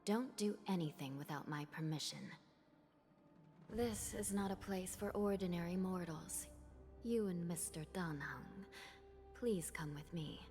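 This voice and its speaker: An actress voicing a solemn young female judge in a video game, formal and measured, polite but strict. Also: lowish voice, darkish tone, natural voice. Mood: stern, commanding. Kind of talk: scripted video game dialogue. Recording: long reverb, game music behind.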